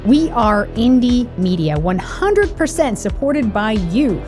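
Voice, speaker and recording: A woman's voice: fairly high pitch, very animated, takes it slowly, music in the background. A woman co-host reading scripted news commentary with pointed emphasis. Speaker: show co-host.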